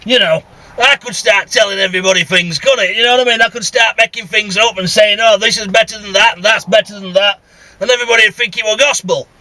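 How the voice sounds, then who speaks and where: High, bright-voiced Northern English man grumbling. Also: steady tone, fast talker, no echo, low-quality recording. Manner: grumbling, blunt, sweary. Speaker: CB radio vlogger. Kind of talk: casual rambling monologue while driving. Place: inside a vehicle.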